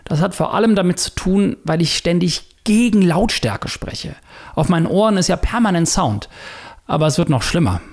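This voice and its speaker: A man with a slightly higher-pitched voice that has a husky, gravelly edge. He delivers a scripted voice-over for his own online video, mixing documentary-style narration with casual first-person remarks. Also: animated, moderate pace, a little mellow. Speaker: video creator narrating a self-experiment.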